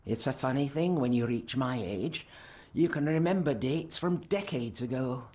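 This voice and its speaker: A man playing an elderly aristocratic lady in a game drama, genteel, measured and evasive. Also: mid-range voice, animated, darkish tone, close to an everyday voice. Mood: nostalgic. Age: older. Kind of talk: video game drama dialogue.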